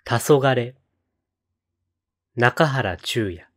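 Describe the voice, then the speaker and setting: A man reciting poetry in a solemn style, with measured, deliberate phrasing and pauses between lines. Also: mid-range voice. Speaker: poetry reader on a read-aloud channel.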